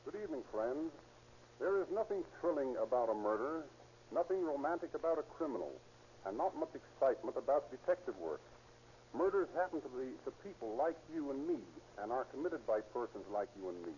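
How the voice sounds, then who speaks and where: A man's voice: medium pitch, sing-song, a little fast, warm tone. A man giving a formal, earnest scripted address as a police captain on 1930s radio. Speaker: police captain, guest speaker. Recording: dull, noisy 1930s radio recording.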